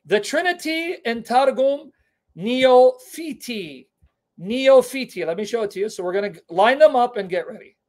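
A man's voice: very high voice, expressive, normal speed. A middle-aged man whose first language is Syriac, teaching on a live stream from his home kitchen into a webcam, emphatic and repeating key terms. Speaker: online apologetics teacher.

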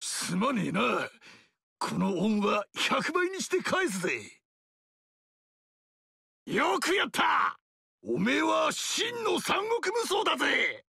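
A boisterous barbarian king roars his boasts and battle cries. His voice is high, gravelly and bright, and it swings wildly up and down. The video game recording is dry and close. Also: huge pitch swings, slow pace, pauses often, dry recording, full character voice. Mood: hearty praise. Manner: gruff rough-speech shouting battle cries. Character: boisterous burly barbarian king, brash and loud.

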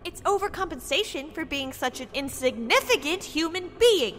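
An actress playing an excitable, haughty female agent in an audio drama. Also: high-pitched, normal speed. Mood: scornful. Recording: long reverb, music underneath.

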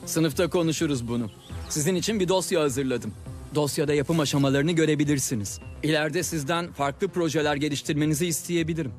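A man playing a patient, calm teacher with a gently dry manner in an animated series. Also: on the high side, fairly quick, faint music behind, close to an everyday voice. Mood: patient, explaining. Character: adult male teacher and secret hero, patient, calm.

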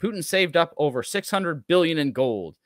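A man's voice: fairly high pitch, lively intonation, normal speed, fairly bright tone, no echo, studio quality. A man in his thirties co-hosting a casual show, reading aloud and dropping sarcastic, mocking asides. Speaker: show co-host. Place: small room at home, on a video call stream.

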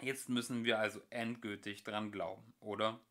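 A man's voice: on the low side, little pitch movement, normal speed, bright, forceful tone. A man voicing an anxious young man in a read-aloud story, questioning nervously.

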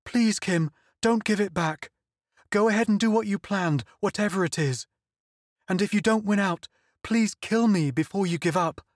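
A man's voice: on the high side, animated, measured pace, no room sound, clean studio sound. A man voicing a frightened, devoted young woman who pleads through tears in an audiobook. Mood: desperate. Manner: pleading, tearful. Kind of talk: audiobook character voice.

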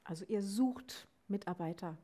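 A woman's voice: medium pitch, moderate pace, warm tone. A middle-aged woman, hosting an on-stage interview and putting questions to a guest. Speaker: host, interviewer. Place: indoor small stage. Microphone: headset.

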